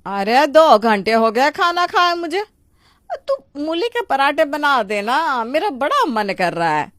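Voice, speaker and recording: A woman's voice: sits high, sing-song, slow pace, fairly bright tone, no room sound, clean studio sound, full character voice. A woman voicing a stubborn, greedy older mother-in-law in a moral story, bossy and exclamatory with a whining edge. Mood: insistent. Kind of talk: Hindi moral story voice acting.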